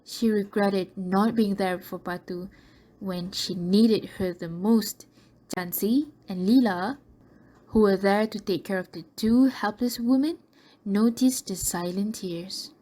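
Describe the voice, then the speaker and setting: A woman's voice: mid-range voice, slow pace, dark tone, low-quality recording. A woman narrating a scripted radio drama in a storytelling style.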